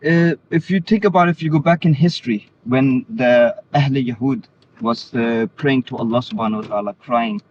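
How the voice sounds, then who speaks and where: A man from Denmark speaks earnestly in a middle-pitched voice, pausing and restarting as he explains himself. The recording is slightly dull, with a faint hiss underneath. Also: darkish tone. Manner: earnest, hesitant with many restarts. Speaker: interviewee. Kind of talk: casual conversation.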